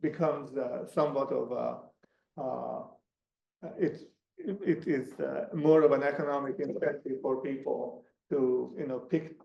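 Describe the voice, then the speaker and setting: Gravelly man, Hungarian native, echoey room. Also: medium pitch, steady tone, muffled recording, slight background noise. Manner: halting, many restarts and fillers. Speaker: guest speaker. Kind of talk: academic talk answering questions. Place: lecture room.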